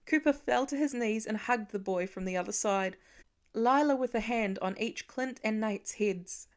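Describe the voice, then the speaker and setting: Woman narrating a story expressively. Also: a little high. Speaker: fan fiction audiobook reader.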